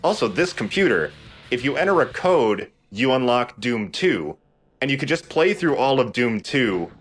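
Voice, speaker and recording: A man's voice: mid-range voice, big pitch swings, moderate pace. A man hosting a let's play with casual, informal commentary, enthusiastic about small details. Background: game music.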